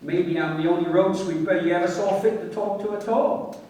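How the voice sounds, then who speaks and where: An actor playing a humble, smitten working-class road sweeper, earnest and colloquial, in a radio play. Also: male voice, high voice, fairly even intonation, normal speed, warm tone, lightly acted. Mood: earnest, hurt. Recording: very echoey, distant from the mic.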